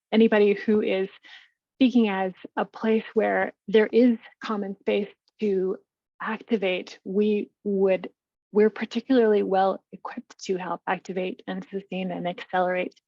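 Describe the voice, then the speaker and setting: A woman's voice: medium pitch, measured pace, no room sound. Woman walking thru her slides on a webinar, upbeat, kinda lo-fi dry audio. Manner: energetic, talks through slides with restarts. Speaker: seniors network program manager. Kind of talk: webinar presentation. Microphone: video call.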